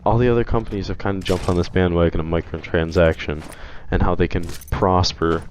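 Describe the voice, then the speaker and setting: A young man with a hoarse voice from being sick, rambling through a casual opinion vlog with frequent restarts. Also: deep voice, fairly even intonation, brisk, background noise. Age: young adult. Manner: rambling, hesitant, many restarts. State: hoarse from illness. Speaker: video game commentator.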